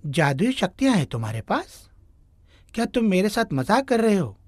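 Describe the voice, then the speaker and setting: A woman voicing an earnest young man, a caring son, in an animated story. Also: medium pitch, moderate pace, husky, no echo, clean studio sound. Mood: concerned. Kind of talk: Hindi animated moral story acting.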